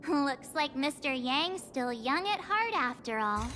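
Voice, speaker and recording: A woman's voice: high voice, expressive, a little slow, bright, forceful tone, a little hiss or hum, full character voice. An actress playing a bubbly, teasing young girl adventurer in a video game, talking in a playful, casual way. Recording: reverberant, music behind the voice.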